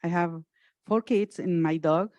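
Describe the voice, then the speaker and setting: A woman from Mexico City with a Mexican Spanish accent, a native Spanish speaker introducing herself haltingly as a community connector at a council meeting. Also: lowish voice, darkish tone, no echo, studio quality. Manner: halting, searching for words.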